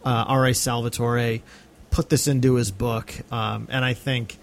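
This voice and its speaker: A man's voice: medium pitch, flat delivery, measured pace, gravelly. A male podcast host talking casually and earnestly about film, with an enthusiastic manner.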